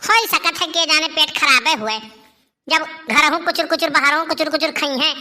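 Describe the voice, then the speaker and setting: A bossy, fiery middle-aged village wife in a cartoon comedy , acted out by a woman. Her voice is high and very sharp, and she scolds and calls out in an Awadhi accent. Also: fairly quick. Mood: scolding. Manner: scolding, loud calling.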